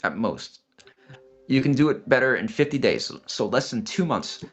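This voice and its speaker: A man's voice: medium pitch, big pitch swings, moderate pace, a bit bright. A man, casually explaining study steps as a guest in a chat, blunt and fond of restarts.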